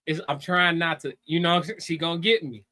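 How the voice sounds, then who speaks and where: A man co-hosting a casual live stream, talking in animated slang and repeating phrases for emphasis. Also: on the high side, moderate pace. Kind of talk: casual opinionated chat.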